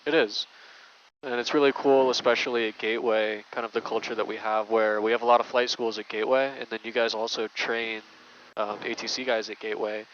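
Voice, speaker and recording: A man's voice: fairly low pitch, level tone, fairly quick, a bit bright. Male instructor-interviewer, casual and conversational, very level intonation, slightly muffled recording with light noise.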